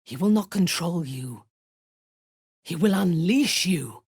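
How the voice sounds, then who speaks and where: A woman playing a ruthless, coldly seductive dark elf warrior in a video game, with a low, breathy voice and measured, commanding, formal diction. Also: expressive, dry recording, studio quality, full character voice. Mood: cold, menacing. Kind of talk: video game voice lines, theatrical fantasy acting.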